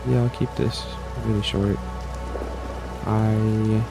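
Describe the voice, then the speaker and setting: Male online creator, low gravelly voice, casual unscripted chat, hesitant and self-critical. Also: flat delivery, slow, low-quality recording. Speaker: channel creator. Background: music.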